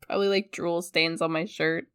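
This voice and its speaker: A woman's voice: fairly low pitch, little pitch movement, normal speed, fairly bright tone, smooth, dry recording, clean studio sound. A woman co-hosting a podcast in casual chat, telling stories with animation and laughing a lot. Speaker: podcast guest co-host. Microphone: headphones, studio mic.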